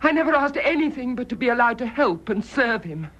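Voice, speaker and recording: An actress playing a bitter, righteous British nurse in a radio drama, intense and indignant, with clipped delivery. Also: female voice, fairly high pitch, expressive, brisk, a bit bright. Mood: furious accusation. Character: bitter, accusing private nurse, fiercely devoted and righteous. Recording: dull, muffled radio drama recording.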